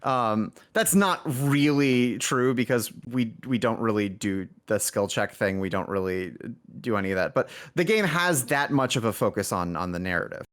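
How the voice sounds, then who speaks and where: A man's voice: medium pitch, animated, normal speed, few pauses, a bit bright. A man speaking as an indie game developer in a casual podcast conversation, rambling and correcting himself as he thinks aloud.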